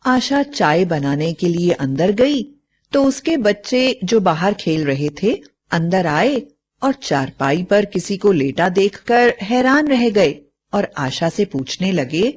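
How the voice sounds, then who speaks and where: A woman's voice: mid-range voice, sing-song, takes it slowly, darkish tone. A woman narrating a scripted story as a storyteller.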